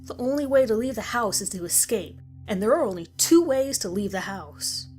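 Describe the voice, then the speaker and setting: Grim woman survivor, acted by a female narrator, mid-pitched, deliberate and foreboding, stern warnings, scary story reading, music underneath. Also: lively intonation, darkish tone, natural voice. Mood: ominous warning. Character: grim woman survivor narrating deadly rules of a monster house. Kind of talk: scripted horror story narration.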